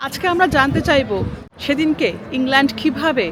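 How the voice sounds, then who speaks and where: A woman's voice: sits high, steady tone, a little fast, noisy background. A woman with an Indian Bengali accent, narrating a vlog as if talking straight to her viewers. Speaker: travel vlogger. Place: outdoors in a city square and voice-over.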